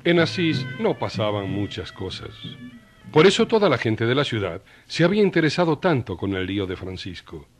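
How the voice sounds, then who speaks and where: A man's voice: medium pitch, big pitch swings, normal speed, soft background music. A man narrating a scripted story in a colloquial, storytelling style.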